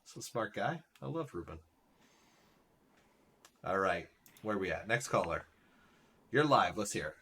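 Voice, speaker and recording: A man's voice: low voice, measured pace, pauses often. Laid-back man hosting a live stream. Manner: relaxed, says "like" and "right?" often. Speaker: streamer host. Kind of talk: casual live-stream chat.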